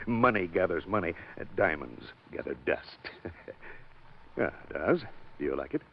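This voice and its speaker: A smug, scheming rich man, in an old radio drama. His voice sits low and swings widely, sneering and commanding. The broadcast is dull and thin, with a faint hiss behind it. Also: animated, slow pace, exaggerated voice. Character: smug wealthy male diamond owner, scheming and curt. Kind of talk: 1940s radio acting.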